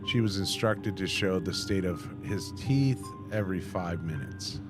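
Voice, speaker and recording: A man hosting a reaction video, reading text aloud somewhat haltingly and adding casual commentary. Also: sits low. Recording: music playing behind the voice. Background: music.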